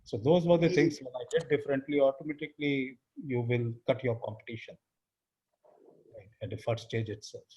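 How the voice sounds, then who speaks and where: A man in his fifties speaks English with an Indian accent, his voice husky and set at an ordinary middle pitch. He talks in a halting way, with fillers, restarts and phrases that trail off quietly. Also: steady tone, highs cut off. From India (Uttar Pradesh). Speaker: interview guest, business founder. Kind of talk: casual interview talk.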